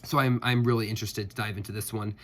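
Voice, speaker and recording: A man's voice: fairly low pitch, fairly even intonation, fast talker. Guy w a head cold rambling about his reading, stuffy nose, lots of ums. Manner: chatty, rambling, many fillers and restarts. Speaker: booktuber. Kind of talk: casual reading update.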